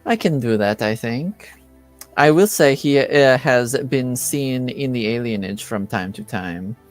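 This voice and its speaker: A man playing a composed, formal informant in a tabletop roleplaying game, speaking in measured phrases with hesitant pauses. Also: mid-range voice, animated, soft background music, close to an everyday voice. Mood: polite, businesslike. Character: formal, composed informant with cool professional detachment. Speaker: game master.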